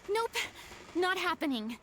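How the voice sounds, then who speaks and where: A woman's voice: very high-pitched, big pitch swings, a little slow, a bit bright, a little hiss or hum, exaggerated voice. A woman playing a proud, stubborn yet self-doubting young retired stage star in an anime video game, with dramatic, formal delivery. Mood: embarrassed, flustered.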